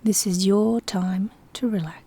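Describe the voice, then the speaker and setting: A soft-spoken woman with a breathy, whispery voice gently guiding a sleep hypnosis session in a soothing, instructing way. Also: mid-range voice, steady tone, a little slow. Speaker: hypnosis guide.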